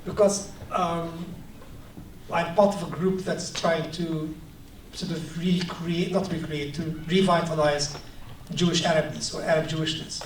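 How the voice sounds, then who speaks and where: A man from Palestine talks about his book, his voice pitched a little high for a man. He hesitates and fills gaps with ums, then turns lively in his anecdotes. The room is echoey and the mic sits far away with a light hiss underneath. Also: unhurried. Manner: hesitant, many ums, animated when telling anecdotes. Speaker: author giving a talk. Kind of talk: reflective talk and storytelling. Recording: echoey room, distant mic, faint noise.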